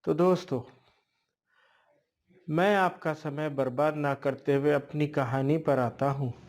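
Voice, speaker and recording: A man tells a horror tale at an extremely slow pace, breaking it into short, halting phrases. His voice sits a little high for a man, and he speaks as a storyteller addressing listeners. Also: fairly even intonation, a little mellow. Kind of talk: slow spoken ghost storytelling.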